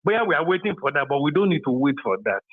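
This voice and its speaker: A man's voice: fairly high pitch, big pitch swings, rapid-fire, husky. A man with a Nigerian accent calling a political show by phone, speaking emphatically and repeating phrases for weight. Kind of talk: opinionated political phone conversation. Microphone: phone call. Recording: narrow tinny phone line.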